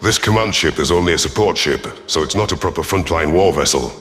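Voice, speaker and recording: Male reader as gruff alien rebel commander: very low, proud, sardonic, stern, first-person sci-fi narration. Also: fast, fairly bright tone, soft background music. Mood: stern, measured. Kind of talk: first-person scripted audio story narration.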